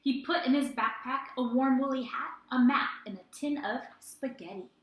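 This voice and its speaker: A woman teacher reading a story aloud and chatting to young children in an expressive way. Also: sits high, normal speed, slight background noise. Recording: roomy indoor echo.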